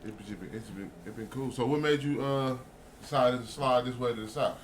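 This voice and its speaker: A man from Oakland hosts a casual podcast, talking quickly in relaxed slang. His pitch sits in the middle range. A faint hiss sits under his voice. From Oakland, California. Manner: fast, slangy, easygoing. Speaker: podcast host.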